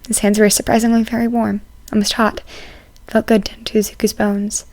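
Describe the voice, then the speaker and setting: A woman's voice: mid-range voice, level tone, normal speed, a little mellow, no echo. Woman narrating fiction, very dry sound. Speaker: audiobook narrator. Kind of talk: scripted story narration.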